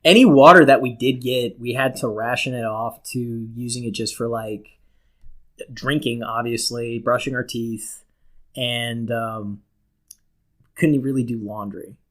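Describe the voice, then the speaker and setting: A man's voice: medium pitch, fairly even intonation, unhurried. Blunt, casually swearing man. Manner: profane, blunt. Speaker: talk show co-host, military veteran. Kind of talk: casual chat, storytelling.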